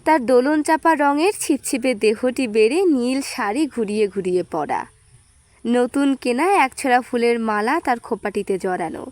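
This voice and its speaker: High-voiced woman reading a literary story. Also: lively intonation, moderate pace, darkish tone, dry recording. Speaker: audio story reader. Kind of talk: scripted literary narration.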